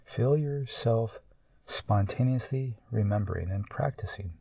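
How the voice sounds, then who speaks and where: A man guides a meditation in a mellow, relaxed voice. He speaks very slowly and calmly, in short measured phrases with long pauses. The recording is dry and somewhat muffled. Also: lowish voice, vocal fry, no room sound. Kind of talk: guided meditation, slow and soothing.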